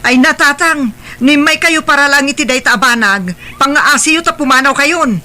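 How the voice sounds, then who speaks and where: Sharp, proud Filipino mother. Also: high-pitched, normal speed, a little hiss or hum. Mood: irritated, defiant. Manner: curt, exasperated. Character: proud, defensive grown daughter and neglectful mother. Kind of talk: audio drama acting.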